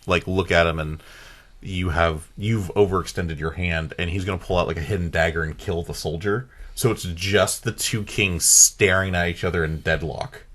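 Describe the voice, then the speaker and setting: A man's voice: low-pitched, a bit bright. A man co-hosting a podcast, talking casually and explaining details to his friends. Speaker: podcast co-host. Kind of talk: casual chat.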